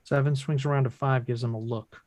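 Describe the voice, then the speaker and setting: A man's voice: medium pitch, steady tone, brisk, a little mellow, no room sound. A man reading stage directions as scripted narration for a drama table read.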